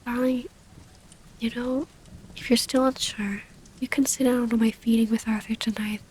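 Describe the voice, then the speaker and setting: Casting: actress as wistful female vampire sweetheart, higher voice, soothing breathy whispers, intimate ASMR, faint rainy noise behind. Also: steady tone, a little mellow, close to an everyday voice, low-quality recording. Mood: gently explaining. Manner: breathy half-whisper, soothing, hesitant pauses. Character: tender female vampire lover, devoted, gently predatory, wistful. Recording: close-up with rain ambience, faint noise.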